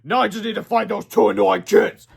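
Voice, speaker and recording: A giant robot toilet villain, acted out by a man reading aloud declaims in a highish voice. It is pompous and threatening, a storybook monster hungry for revenge. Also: steady tone, brisk, vocal fry, slightly rough, full character voice. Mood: gloating, commanding. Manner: bombastic, threatening.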